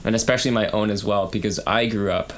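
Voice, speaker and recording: A young man from Canada co-hosting a student podcast, talking casually with many fillers and restarts. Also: on the low side. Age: young adult. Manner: halting, many ums and likes. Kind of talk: casual conversation.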